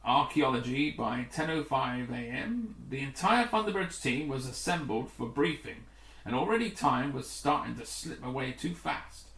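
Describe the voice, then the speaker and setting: A man's voice: mid-range voice, animated, normal speed, fairly bright tone. A man reading a story aloud as scripted narration, occasionally stumbling and rereading his words. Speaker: audiobook reader. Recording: roomy, echoing room.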